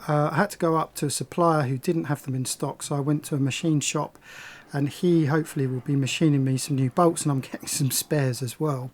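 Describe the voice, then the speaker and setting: A man's voice: a little high, a little mellow. A man giving a casual, unscripted update as a sailing vlogger, thinking aloud with hesitations and blunt slang. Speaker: sailing vlogger and boat owner doing repairs. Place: on board a boat. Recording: clear ambient background noise on boat.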